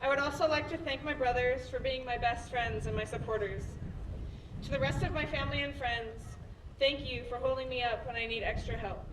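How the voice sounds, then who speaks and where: A woman in her late teens giving sincere, steady graduation thank-yous, heard through a distant, echoey sound system. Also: sits high, fairly quick. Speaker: graduating student. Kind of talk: graduation thank-you speech. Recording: echoey PA from far off, background noise.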